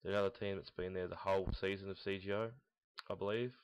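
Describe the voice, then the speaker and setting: Young man, low-pitched monotone, rambling and blunt, casual unscripted gaming commentary, muffled lo-fi recording. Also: fast, clear, resonant tone. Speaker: host of a gaming team audio log. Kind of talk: casual unscripted commentary on esports team predictions. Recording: dull lo-fi, roomy echo, mouse clicks.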